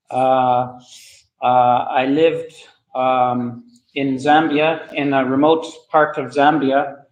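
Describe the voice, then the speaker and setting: A man's voice: medium pitch, rough recording. A man from Canada giving a casual travel talk to an audience, hesitant, with many fillers and restarts. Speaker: guest speaker at travel club.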